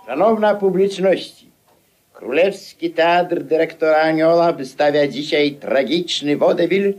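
A man acting as a slow, pompous theatre barker. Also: on the high side, a little mellow, lightly acted. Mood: pompous, proclaiming. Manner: pompous, deliberate. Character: pompous theatre barker announcing a tragic vaudeville. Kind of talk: declaimed announcement in a radio play.

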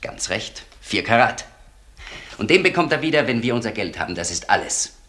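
A man playing a shady, defensive billiard club boss in a crime radio play, gruff and insistent. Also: medium pitch, very animated, moderate pace, sharp tone. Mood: gruff, guarded.

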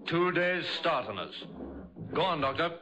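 An actor playing a brisk, methodical police inspector in a vintage radio drama, businesslike and authoritative. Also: male voice, fairly high pitch, big pitch swings, a crowd in the background, low-quality recording. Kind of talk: 1950s radio drama acting.

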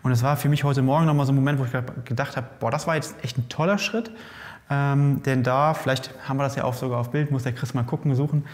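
A male host in casual expert conversation, lively and explaining, often restarting mid-sentence, in a bike fitting lab. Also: mid-range voice, quick pace. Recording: long reverb in a lab room.

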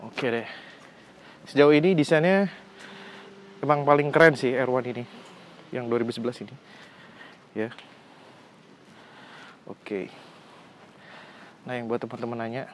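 Young man in a casual, slangy vlog. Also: medium pitch. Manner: colloquial, slangy, exclamatory. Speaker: motorcycle reviewer. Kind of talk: casual hands-on vlog review. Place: outdoors on a residential street. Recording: outdoor phone recording with background noise.

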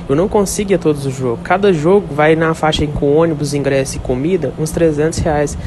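Earnest fan caller on a voice message. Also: male voice, on the high side, background noise.

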